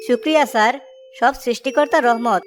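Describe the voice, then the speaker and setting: Woman as a cartoon market stallholder, raised pitch, music clearly underneath. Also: brisk, lightly acted. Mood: humble, grateful. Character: village samosa sellers, one humble, one jealous. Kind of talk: cartoon story dialogue.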